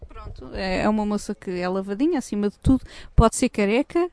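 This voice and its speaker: A woman's voice: mid-range voice, animated, brisk, a little mellow. A woman hosting a casual, playful chat outdoors by a windmill, teasing her guest as she asks about her life. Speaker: host.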